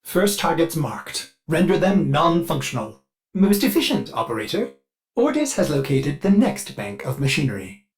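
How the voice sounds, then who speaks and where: Fussy, lively male ship computer AI. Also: a little high, moderate pace. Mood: polite, eager. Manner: polite, eager and deferential, breaking into violent outbursts. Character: fussy, devoted ship computer AI, male, with flashes of bloodthirsty rage. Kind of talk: scripted video game character lines.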